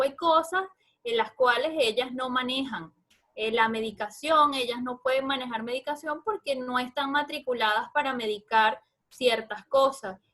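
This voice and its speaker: A woman with a distinctly high voice and a Venezuelan Andean accent speaks as a lecturing doctor. She explains things in colloquial language, addressing her listeners directly in an informal question-and-answer session. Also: fairly bright tone. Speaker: guest lecturer, obstetrics doctor.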